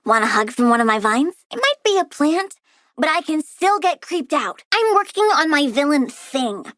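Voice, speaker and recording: An actress playing a perky, morbidly cute young plant villainess in a video game, with a childlike, sardonic, theatrical delivery. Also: female voice, high voice, very animated, normal speed, fairly bright tone, no echo, clean studio sound. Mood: playful. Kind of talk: video game character dialogue.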